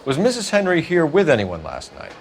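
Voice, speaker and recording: Stern, clipped detective. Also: male voice, on the high side, huge pitch swings, fairly quick, gravelly, slight background noise, exaggerated voice. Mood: businesslike. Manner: formal, probing, businesslike questioning. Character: stern, clipped young male 1940s police detective. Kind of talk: video game voice acting.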